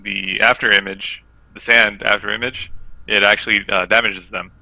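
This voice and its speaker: A man's voice: on the low side, little pitch movement, moderate pace, vocal fry, sharp tone, dry recording, rough recording. A man giving his casual take on a game as a guest on a podcast. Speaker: guest player on a gaming podcast. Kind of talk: casual chat, game analysis.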